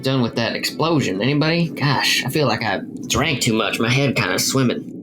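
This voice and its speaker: A man playing a tabletop roleplaying game, improvising in character in an animated, blurting way over background music. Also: medium pitch, moderate pace, noisy background.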